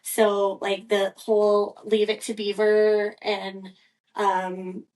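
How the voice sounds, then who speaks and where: A woman's voice: mid-range voice, completely flat delivery, slow, a little mellow, recorded from a distance, low-quality recording. A woman from Scotland, co-hosting a podcast and telling her life story in a rambling, chatty way with lots of fillers. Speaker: podcast co-host. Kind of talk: casual personal storytelling.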